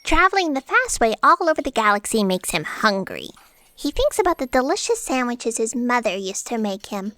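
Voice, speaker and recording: A woman plays a perky female bureaucrat in a comic audio drama. Her voice is high, bright and very animated, with a chipper, touchy manner. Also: moderate pace, no room sound. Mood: curious. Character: perky, easily offended female bureaucrat at destiny's office.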